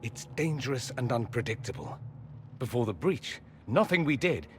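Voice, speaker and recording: An actor playing a witty, urbane mage in a video game, articulate and expressive, with a wry turn of phrase. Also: male voice, mid-range voice, normal speed, over music, lightly acted. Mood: urgent explaining. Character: witty, urbane adult male mage, curious and concerned. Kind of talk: dramatic video game dialogue.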